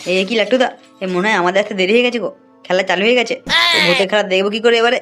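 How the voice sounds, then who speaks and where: A man playing an excitable, lecherous village man in a comic cartoon, talking colloquially with whiny questions. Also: high voice, rapid-fire, soft background music. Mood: eager.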